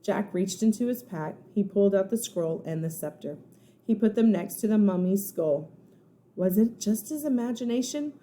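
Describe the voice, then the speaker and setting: A woman, a first grade teacher, narrating a children's storybook in an expressive, suspenseful, dramatic way. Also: on the low side. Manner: suspenseful, dramatic, pauses to explain words.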